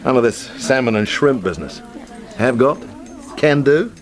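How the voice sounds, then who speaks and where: An actor in a radio play voicing a breezy, slangy expatriate intelligence man with a brisk, chummy manner. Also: mid-range voice, expressive, natural voice. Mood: breezy, knowing. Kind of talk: radio drama acting.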